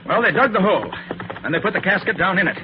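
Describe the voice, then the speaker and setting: An actor playing a confident, sharp-witted master detective in an old radio drama, explaining things in a brisk, assured way. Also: male voice, high-pitched, expressive. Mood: urgent, recounting. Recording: muffled, hissy old radio recording.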